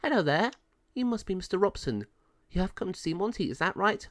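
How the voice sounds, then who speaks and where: A man's voice: high-pitched, very animated, brisk, dry recording, studio quality, pitched above the performer's own voice. A man voicing a cheerful, helpful female dog pound officer in a story, with a polite manner. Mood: welcoming.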